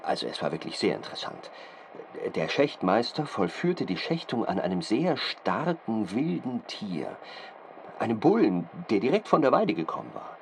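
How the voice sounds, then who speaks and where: A man playing an earnest, fastidious doctor who gives detailed expert testimony in a deliberate, halting, precise manner. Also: lowish voice, wildly swooping pitch, vocal fry, slight background noise, exaggerated voice. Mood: earnest, fascinated. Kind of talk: period-drama studio interview, detailed account.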